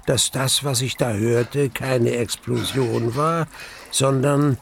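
Casting: grave, creaky-voiced narrator of a dark radio drama, deliberate and suspenseful, faint ambience behind. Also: male voice, mid-range voice, steady tone, a little hiss or hum. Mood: tense, awed. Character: grave male narrator recalling his mortal terror in a cellar. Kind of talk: dramatic first-person radio play narration.

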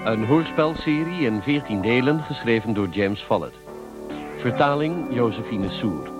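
A male announcer with a mid-pitched voice reads scripted credits for a radio series. Loud music plays behind him. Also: lively intonation, a little slow, rough recording.

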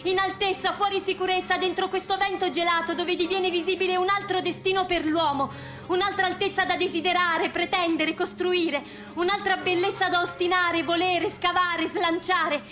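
An actress playing a proud, grieving widow, reciting poetically in a theatrical radio drama. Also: female voice, extremely high pitch, little pitch movement, normal speed, sharp tone, soft background music. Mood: lyrical, proud.

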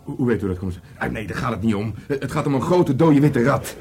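An actor playing an earnest, touchy police detective who speaks hesitantly in a radio play. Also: male voice, mid-range voice, animated, fast, a little mellow, soft background music, natural voice. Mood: upset. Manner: hesitant, stammering under questioning.

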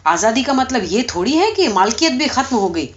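An irritable, officious male sentry from a storybook, played by a woman. The voice is scolding and fairly high, jumping around in pitch, with slightly dull sound. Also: very animated. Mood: insistent. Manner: challenging, scolding. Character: gruff, bossy, easily irritated male police sentry.

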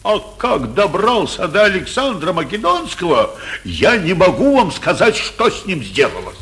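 An actor playing an anxious, blustering middle-aged provincial mayor in emphatic, theatrical 1940s radio comedy style. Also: male voice, sits high, sing-song, moderate pace, fairly bright tone. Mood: exasperated.

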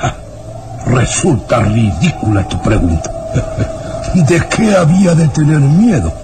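A man's voice: on the high side, animated, takes it slowly, very noisy. A man playing a scornful, arrogant baron with a theatrical, derisive manner in an old radio drama. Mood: haughty disbelief. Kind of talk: old Latin American radio drama acting.

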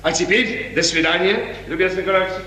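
An imperious prince speaks in a high, forceful voice. He is suave and condescending, with theatrical sweeps of pitch. The sound is a 1950s radio play recorded in an echoing hall. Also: fairly quick. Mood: brisk, dismissive.

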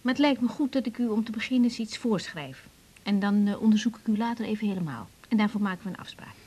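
An actress playing a caring young female doctor in a radio drama, speaking haltingly and naturally, often repeating words. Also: medium pitch, darkish tone, slightly rough, natural voice. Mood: calm, professional.

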